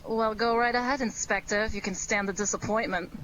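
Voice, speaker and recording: A woman's voice: medium pitch, steady tone, fairly quick, fairly bright tone, muffled recording, slight background noise, natural voice. A woman playing a wry, exclamatory young sweetheart in a 1940s-style radio detective drama, loyal and easily flustered. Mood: wry, sarcastic.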